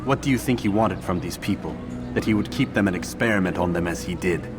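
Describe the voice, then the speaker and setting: A man's voice: low-pitched, quick pace, close to an everyday voice. Casting: male actor as blunt, questioning assassin for a video game; stern delivery, reverberant hall, music beneath. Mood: doubting. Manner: flat, stern, terse. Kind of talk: video game cutscene acting. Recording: echoey hall, music underneath.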